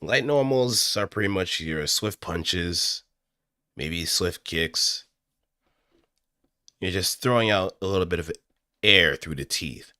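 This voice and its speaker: Deep-voiced animated man, crisp and dry. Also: slow, pauses often, no echo. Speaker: amateur voice actor. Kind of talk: casual explanatory talk, improvised voice acting tutorial. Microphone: close studio microphone through audio interface.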